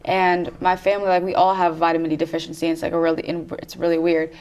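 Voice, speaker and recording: A woman's voice: on the low side, monotone, fast, a little mellow, clear, resonant tone, rough recording. A young woman student speaking on a discussion panel, hesitant, using many fillers and trailing off at the ends of thoughts. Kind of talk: casual discussion.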